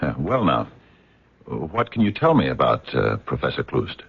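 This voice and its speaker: An actor playing an earnest, courteous American physicist in a radio mystery, speaking in a hesitant, wordy, stammering way. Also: male voice, a bass voice, sing-song, normal speed, gravelly, husky, full character voice. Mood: fussy, eager. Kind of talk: radio drama acting. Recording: dull, muffled radio drama recording.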